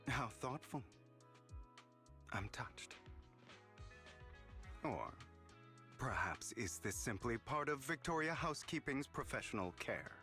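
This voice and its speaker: An actor playing a theatrical, teasing, wounded young ex-thief in a game's story scenes, sometimes breathy. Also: male voice, mid-range voice, lively intonation, slow, long pauses, pushed and bright, heavily acted. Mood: wry, playful. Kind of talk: scripted game story dialogue. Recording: music behind the voice.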